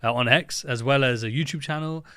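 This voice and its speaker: A man's voice: mid-range voice, a little fast, sharp tone, clean tone, no echo. Enthusiastic male podcast host, dry sound. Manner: friendly, enthusiastic, lots of fillers. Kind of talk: podcast hosting.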